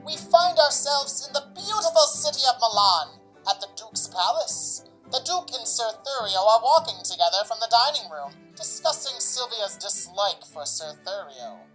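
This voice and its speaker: A theatrical radio hostess, played by a woman, narrates with a highish voice and big swooping intonation over music. She is grand and showy, in old-time radio drama style. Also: sing-song, normal speed, hardly any pauses, a bit bright, poor audio.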